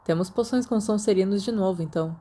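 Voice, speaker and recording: A mild, reserved teenage schoolboy, voiced by a woman narrator with a Brazilian Portuguese accent. He is polite and understated, with a mellow, relaxed tone, as dialogue in an audiobook. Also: medium pitch, quick pace, natural voice. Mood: uneasy.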